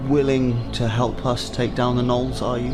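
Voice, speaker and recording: A man's voice: mid-range voice, level tone, moderate pace. A man playing a tabletop game with casual, dry, sarcastic banter and role-play, music behind him.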